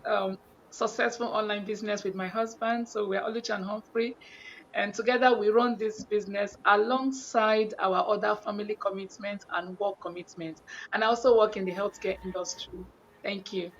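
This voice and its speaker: A woman's voice: mid-range voice, steady tone, normal speed, highs cut off, slight background noise. A middle-aged woman from Nigeria, an online business owner, introducing herself plainly and in a friendly way.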